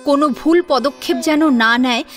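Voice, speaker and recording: A woman narrating a scripted audio drama serial in an expressive storytelling manner. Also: high-pitched, normal speed. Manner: expressive storytelling with rhetorical questions. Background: soft sitar and plucked string music.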